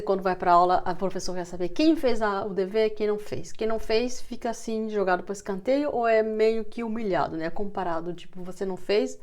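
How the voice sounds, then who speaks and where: A woman's voice: mid-range voice, darkish tone. A woman with a Brazilian Portuguese accent, speaking as a language teacher in an informal, explanatory talk, stressing key words.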